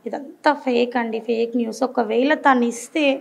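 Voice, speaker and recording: Young woman, high voice with a bit of fry, fed up, insisting hard. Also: moderate pace, darkish tone. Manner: frustrated, emphatic. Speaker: interviewee, patient's daughter.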